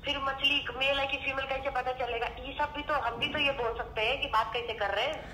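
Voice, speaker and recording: A woman's voice: high-pitched, steady tone, a little fast, bright, forceful tone. A woman with a blunt, exasperated, argumentative manner, talking casually on a phone call. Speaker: prank call recipient. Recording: phone on speaker, echoey room, faint noise.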